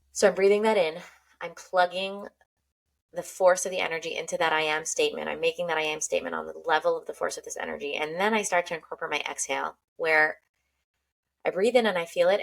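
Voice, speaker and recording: A woman with a fairly low voice leads a guided breathing meditation. She speaks slowly and softly, narrating each breath in the first person as though she's doing it alongside the listener. Her tone stays calm and unhurried throughout. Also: creaky.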